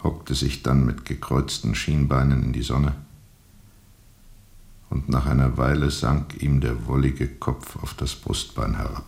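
Ein Schauspieler spielt in einem Hörspiel einen weltmüden, von dunklen Erinnerungen gequälten Flusskapitän, der mit rauer Stimme bedächtig erzählt. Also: male voice, a bass voice, creaky, a little mellow, full character voice. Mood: haunted, grave. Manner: deliberate, pausing and repeating for emphasis. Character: world-weary riverboat captain recounting dark memories, brooding and haunted. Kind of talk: radio drama monologue, slow storytelling.

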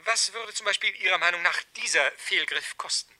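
An actor in a 1970s radio play playing a sly, falsely polite blackmailer with an insinuating manner. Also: male voice, high voice, fairly quick, bright, forceful tone. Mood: slyly polite. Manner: insinuating, mock-courteous, hesitant when probing.

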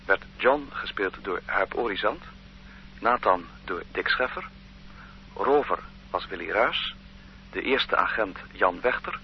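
A man's voice: fairly low pitch, lively intonation, takes it slowly, pauses often. A man reading cast credits as a scripted radio drama announcement. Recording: dull, noisy old radio recording.

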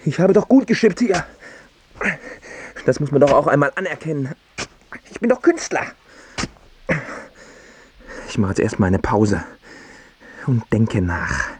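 An actor playing an anxious, self-doubting failed singer in a radio drama, brooding and needy in manner. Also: male voice, mid-range voice, darkish tone, breathy edge. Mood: agitated. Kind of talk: stage-like radio drama acting.

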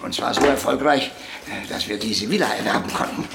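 An actor playing a proud, prickly old puppeteer in a radio drama, telling anecdotes theatrically and emphatically. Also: male voice, mid-range voice, fairly quick, creaky, heavy background noise, close to an everyday voice. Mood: sly, reminiscing. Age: older.

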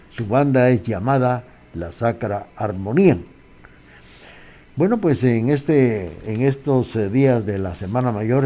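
A man with a Guatemalan accent, hosting a radio program in a relaxed, chatty announcing style and greeting listeners. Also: medium pitch. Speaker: radio host.

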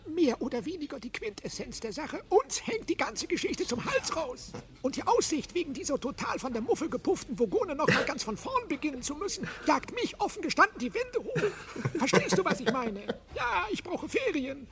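High, swooping, blasé mouse. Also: female voice, sing-song, moderate pace. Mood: fed up. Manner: offhand, dismissive. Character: blasé, chatty male mouse, weary of running planets. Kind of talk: radio play acting.

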